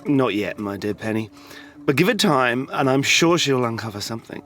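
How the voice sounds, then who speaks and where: A man's voice: medium pitch, expressive, normal speed, a bit bright, faint music behind, lightly acted. Man playing a smug, greedy con man. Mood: offhand. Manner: glib, self-satisfied. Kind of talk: audio drama acting.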